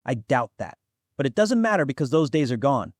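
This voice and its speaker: A young demigod played by a man talks bluntly to his elder in a fantasy audio drama. He is skeptical and impatient, at a medium pitch, recorded close and dry. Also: big pitch swings, brisk, dry recording. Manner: exasperated, blunt.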